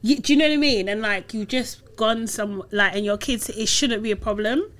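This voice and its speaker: A woman's voice: a little high, normal speed, a bit bright. A woman chatting casually with friends, playful and teasing, often laughing. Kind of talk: casual chat among friends.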